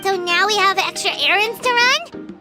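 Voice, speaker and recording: A woman's voice: expressive, normal speed. A woman voicing a tiny, chirpy female companion creature, chatty and cheeky in a game. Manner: chatty, cheeky, high and bouncy. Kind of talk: game voice acting. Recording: loud game music behind.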